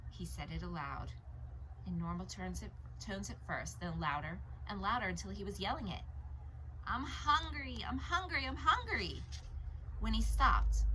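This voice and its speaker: A woman narrating a novel aloud as an audiobook, with dramatic, deliberate phrasing. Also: lowish voice, a bit bright, a little hiss or hum, low-quality recording.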